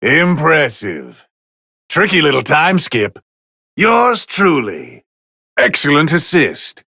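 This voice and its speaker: Snooty bored male god calling game fights, slow condescending drawl, really swoopy delivery, super dry close recording. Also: fairly high pitch, huge pitch swings, creaky, pushed and bright, breathy edge, dry recording, heavily acted. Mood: pleased, approving. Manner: condescending, drawling, theatrically refined. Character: haughty, easily bored male god of destruction. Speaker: fight commentator. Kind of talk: English video game voice lines, match commentary.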